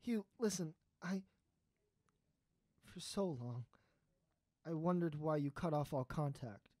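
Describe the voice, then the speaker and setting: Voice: medium pitch, expressive, slow, a little mellow, no room sound. An actor playing an earnest, hesitant astronaut trying to win back an old friend in an audio drama. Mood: pleading, remorseful.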